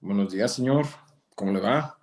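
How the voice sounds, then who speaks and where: A man playing a solemn elderly rancher turned vigilante in a screenplay read, grave and deliberate, mixing Spanish and English. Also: fairly low pitch, darkish tone, lightly acted. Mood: courteous.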